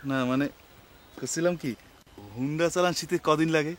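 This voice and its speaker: A man's voice: a little high, fairly quick, natural voice. An actor playing an eager, naive village man in a comic TV drama, speaking a rural Bangladeshi dialect. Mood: pleading.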